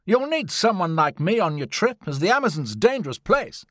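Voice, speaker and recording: A man's voice: sits high, sing-song, a little fast, fairly bright tone, no room sound, clean studio sound, pitched above the performer's own voice. A man voicing a bold, confident aristocratic hunter and explorer in an audiobook adventure story.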